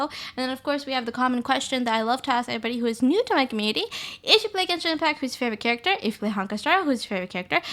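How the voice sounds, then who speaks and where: A woman's voice: a little high, pushed and bright. A woman streaming live, chatting casually and upbeat with her viewers, greeting them and laughing often.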